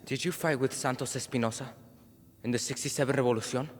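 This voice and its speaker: An actor playing the earnest, insistent teenage son of a dictator in a video game. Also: male voice, mid-range voice, quick pace, very noisy, close to an everyday voice. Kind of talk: cinematic video game acting. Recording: long reverb, loud music behind.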